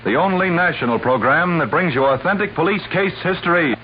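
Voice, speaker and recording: A man's voice: fairly high pitch, animated, a little fast, a little hiss or hum. A man announcing formally in 1940s radio style, on a muffled old recording.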